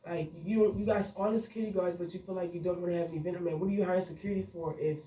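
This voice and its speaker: A man's voice: sits high, fairly even intonation, rapid-fire, roomy sound, distant mic, slight background noise, low-quality recording. A young man, a party promoter speaking earnestly in a radio interview. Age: young adult. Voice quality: soft voice. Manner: earnest, frustrated storytelling with frequent fillers.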